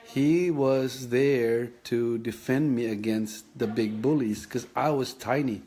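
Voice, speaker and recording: Slow, halting man in his fifties. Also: medium pitch, fairly even intonation, mellow. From Philippines. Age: 50s. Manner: halting, thoughtful, many pauses and restarts. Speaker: vlogger sharing his kidney story. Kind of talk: reflective personal memoir.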